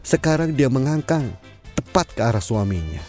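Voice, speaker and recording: A man narrating an audio drama in a measured storytelling manner. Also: medium pitch, big pitch swings, darkish tone. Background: faint music.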